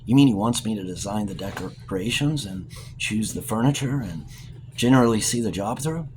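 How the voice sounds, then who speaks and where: A man's voice: on the low side, animated, moderate pace, darkish tone, a little hiss or hum, natural voice. A man voicing a plucky, hard-up young woman in an audiobook, chatty and cheerfully wry. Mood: playful, curious.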